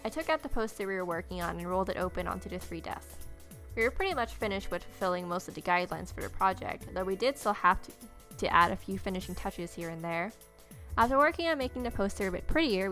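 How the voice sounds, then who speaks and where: Young female game player, brisk pace, mid pitch, narrates story text with casual asides over light music. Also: expressive, a bit bright. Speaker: game player reading aloud. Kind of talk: read-aloud visual novel narration with casual asides. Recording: music playing behind.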